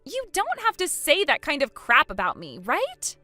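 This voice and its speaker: A woman's voice: medium pitch, very animated, moderate pace, bright, forceful tone, no room sound, soft background music. A woman playing a shameless, demanding villainess in a melodramatic audio story, pleading in a pushy whine. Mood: desperate pleading. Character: shameless, desperate, demanding villainess begging for a kidney.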